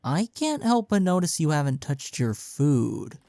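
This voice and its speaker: A man's voice: a little high, big pitch swings, unhurried, creaky, dark tone, no echo, clean studio sound, full character voice. A young man acting in an intimate audio roleplay as a caring, teasing college best friend, talking casually and earnestly. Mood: soft, concerned. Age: young adult.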